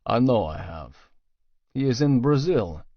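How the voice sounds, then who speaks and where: A man playing a cold, calculating male Russian crime boss in an audiobook, measured and menacing. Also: medium pitch, big pitch swings, breathy edge, dry recording. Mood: commanding, assured. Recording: dry, slightly dull studio sound.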